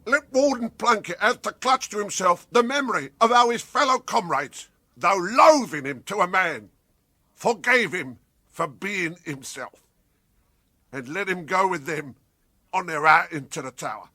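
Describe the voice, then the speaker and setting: A man's voice: high voice, lively intonation, measured pace, sharp tone, no echo, heavily acted. A man playing a florid, pompous convict in a British radio comedy, orating grandly like a theatrical defence advocate. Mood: mock-solemn.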